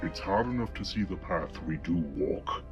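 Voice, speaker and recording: A man voicing a calm, wise alien Jedi master in a comic dub, with measured authority. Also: deep voice, dull audio, faint music behind. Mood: calm, grave.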